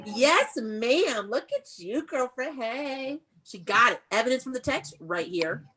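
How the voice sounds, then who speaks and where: A woman with an American accent and a fairly high voice leads an elementary read-aloud lesson on a video call. She is encouraging, prompts with questions and praises the answers. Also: animated, measured pace, crowd noise. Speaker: elementary school teacher.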